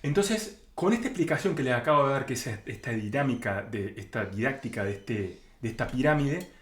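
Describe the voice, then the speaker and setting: An Argentine man gives an informal spiritual lecture in a mid-range voice. He explains conversationally, slipping into first-person examples as he goes. The recording has a clearly roomy echo around his voice. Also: medium pitch, animated, brisk. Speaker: spiritual teacher. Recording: roomy, echoing sound.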